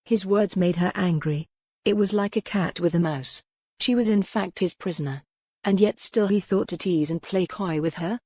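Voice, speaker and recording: A woman's voice: on the low side, normal speed, darkish tone, slightly rough, no echo. A woman narrating a scripted historical romance audiobook.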